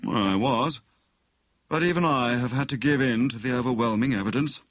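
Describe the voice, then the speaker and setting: A man voicing a titled gentleman, courteous and formal, a guarded villain in a mystery story. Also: medium pitch, normal speed, natural voice. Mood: solemn.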